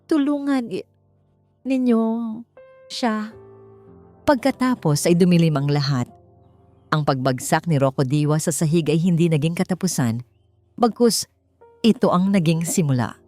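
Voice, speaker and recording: A woman narrating a scripted dramatic story with deliberate phrasing, as an audiobook narrator. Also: lowish voice, warm tone. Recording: music bed behind a dry voice. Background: background music.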